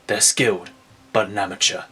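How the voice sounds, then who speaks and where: A man's voice: fairly low pitch, steady tone, fairly quick, pushed and bright, husky, pitched below the performer's own voice, exaggerated voice. A man voicing a grim, menacing masked vigilante detective in a terse, controlled way. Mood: brooding, analytical.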